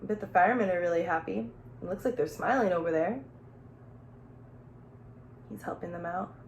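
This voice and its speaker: A woman teacher reading a picture book aloud to young children, playful and expressive, pausing to ask simple questions and explain. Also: fairly low pitch, highs cut off, slight background noise. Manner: expressive, playful, with gasps and exclamations. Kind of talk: children's storybook read-aloud with questions and asides.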